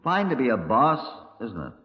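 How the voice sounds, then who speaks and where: Brusque British chief inspector, muffled old radio. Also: male voice, medium pitch, huge pitch swings, moderate pace. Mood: probing. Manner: clipped, dryly sardonic, questioning. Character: brusque, probing male Scotland Yard chief inspector. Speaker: police chief inspector and narrator. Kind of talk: 1950s radio crime drama acting. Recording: muffled, echoey old 1950s radio recording.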